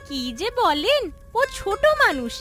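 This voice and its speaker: A woman voicing a young village daughter-in-law in a cartoon fairy tale, dutiful yet quick to answer back. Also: very high voice, sing-song, over music, exaggerated voice.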